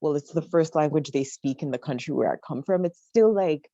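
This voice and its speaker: Reflective, wry teen girl, very low voice, dry and muffled video-call sound. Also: a little fast, dark tone, no echo. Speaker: student panelist. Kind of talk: casual panel discussion.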